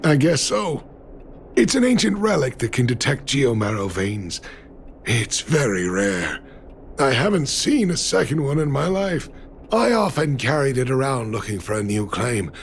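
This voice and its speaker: A man's voice: medium pitch, very animated, measured pace, slight background noise. An actor voicing a world-weary middle-aged miner and father in a video game, resigned and sighing. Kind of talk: dramatic video game dialogue.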